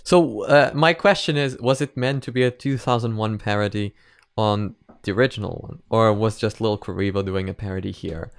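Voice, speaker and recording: Rambling young man, crisp and dry. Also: lowish voice, no room sound. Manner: rambling, halting, informal swearing. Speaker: reaction video host. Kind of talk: casual reaction commentary and analysis.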